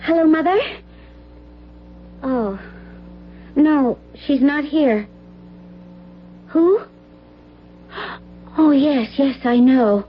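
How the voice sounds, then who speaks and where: An actress playing an anxious, well-mannered grown daughter in an old-time radio drama. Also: female voice, high-pitched, drawn-out words, long pauses. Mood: worried. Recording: muffled, noisy old radio recording.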